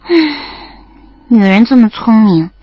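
A woman playing a gentle, knowing sister-in-law in an audio drama, speaking softly and wistfully. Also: a little high, unhurried, dry recording, dull audio.

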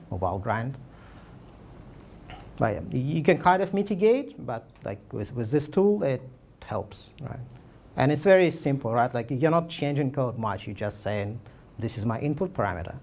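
Gravelly-voiced man giving a casual tech talk. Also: mid-range voice, darkish tone. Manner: casual, filler-heavy, frequent "like" and restarts. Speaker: conference speaker. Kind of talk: technical talk with slides. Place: meetup room, indoors.

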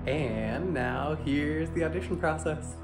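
A man's voice: on the high side, takes it slowly. A man in his thirties chatting casually to camera in an upbeat, effusive way as a vlogger. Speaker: vlogger and musician. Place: small room indoors. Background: instrumental music.

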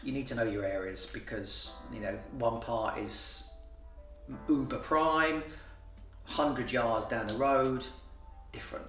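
A man's voice: medium pitch, takes it slowly, a bit bright, soft background music. Guy, 40s, matter-of-fact, echoey office, mic a bit far off. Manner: plain-spoken, matter-of-fact, with repeated fillers and restarts. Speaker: renovation company boss. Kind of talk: interview answers. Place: office, indoors. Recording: roomy office sound from far off.

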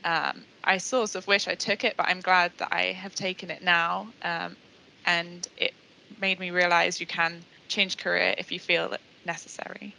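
A woman's voice: fairly low pitch, level tone, moderate pace, gravelly, sharp tone, a little hiss or hum, rough recording. A young woman, a trainee technician, speaking in a shy, hesitant way on a video call. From Norfolk, England. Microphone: headset on video call.

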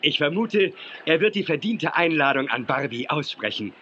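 A self-assured, courteous male ranger in a children's radio play. He speaks formal with a bright, animated voice. There is faint noise behind him. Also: a little high, moderate pace, muffled recording. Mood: proud, announcing. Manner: formal, explanatory. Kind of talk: 1980s children's audio drama acting.

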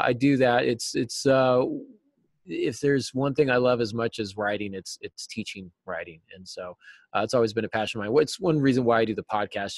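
Middle-aged male interview guest, lowish voice, informal teacherly explanations, close dry sound. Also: dry recording. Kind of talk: casual explanatory interview talk. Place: classroom, indoors. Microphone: computer mic on a video call.

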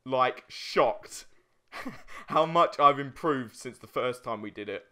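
A man's voice: medium pitch, slow, bright, forceful tone. A young man chatting casually as he co-hosts a video game let's play, informal and given to swearing. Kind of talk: casual chat while playing a video game.

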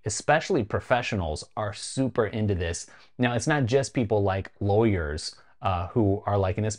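A North American man presents a tech explainer in a fairly low voice. His delivery is casual and conversational, with emphasis on key phrases, as a host talking to camera would sound. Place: small home studio room, indoors.

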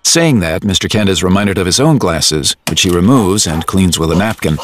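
A male storyteller narrates an audio drama in measured, literary present tense. He sets each detail out descriptively, with faint noise and ambience behind him. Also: sits low, lively intonation.

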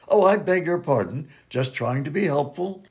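A man plays a self-important former US president for radio comedy. His voice is raspy and fairly high. He speaks formally, with courtly politeness and a huffy edge. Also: expressive, moderate pace. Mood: courteous, eager. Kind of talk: old-time radio comedy sketch.

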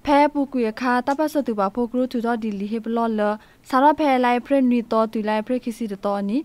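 A woman's voice: fairly high pitch, fairly quick, darkish tone, dry recording. A woman presenting radio news, reading from a script.

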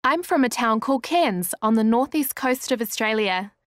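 A woman's voice: on the high side, expressive, moderate pace, no echo, clean studio sound, natural voice. Friendly small-town Australian woman, acted by a woman. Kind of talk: scripted small talk for an English listening exercise.

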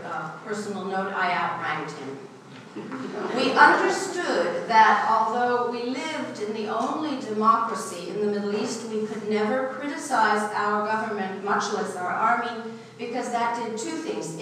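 A woman in her sixties from Israel, giving an earnest, measured talk from a podium in a university lecture hall. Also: fairly high pitch, a bit bright, a little hiss or hum. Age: 60s. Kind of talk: prepared public talk. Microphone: podium, filmed from a distance. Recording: echoey lecture hall from far off.

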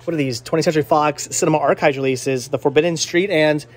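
A man's voice: on the high side, steady tone, fast. A man in his thirties hosting a casual shopping vlog with a jokey, teasing manner, talking into a handheld camera in a small shop. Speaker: vlog host, movie collector. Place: indoors, small cluttered movie and game shop. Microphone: handheld camera at arm's length.

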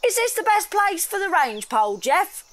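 A high, scornful surveyor. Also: female voice, very animated, moderate pace, a bit bright, heavily acted. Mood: mocking. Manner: mocking. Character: scornful, brash railway surveyor woman. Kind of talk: audio drama acting.

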